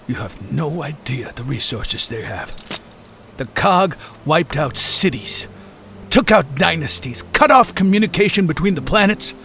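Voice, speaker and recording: A man's voice: a little high, expressive, unhurried. A man playing an anxious, emphatic older mentor of a young squad in a sci-fi audio drama. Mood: alarmed, stern. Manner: emphatic, urgent, theatrical. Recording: music behind the voice.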